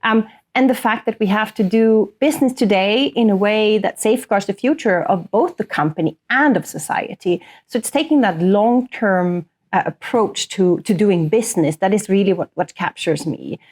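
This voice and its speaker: A woman's voice: mid-range voice, normal speed, hardly any pauses, darkish tone. Earnest woman from northern Sweden. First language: Swedish. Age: 30s to early 40s. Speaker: corporate sustainability executive, interview guest. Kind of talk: conversational interview answers.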